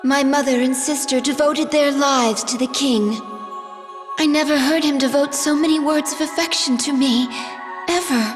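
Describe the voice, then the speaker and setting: A young warrior princess in a fantasy game, voiced by a woman, dutiful and wistful. Her high, restrained voice rings with long reverb over clear music. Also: fairly even intonation, normal speed, lightly acted. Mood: hurt, conflicted. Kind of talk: video game voice acting.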